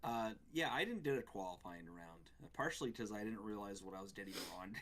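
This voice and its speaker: A man's voice: lowish voice, animated, a little fast, fairly bright tone. A man co-hosting a gaming show, giving his take on games in casual conversation. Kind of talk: casual game review chat.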